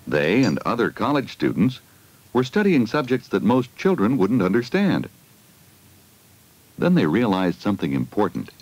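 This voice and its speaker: A man's voice: sits low, no room sound. A man reading a scripted educational film narration for children, putting simple questions to them, on a 1980 film soundtrack.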